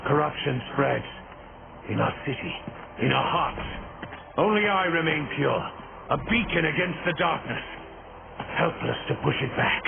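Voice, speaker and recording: A man's voice: fairly high pitch, lively intonation, a little slow, gravelly, pauses often, heavily acted. A man playing a proud, righteous ruler of angels in a video game, with theatrical, pompous declaiming. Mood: imperious, brooding. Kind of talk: video game cutscene acting. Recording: noisy, tinny game audio.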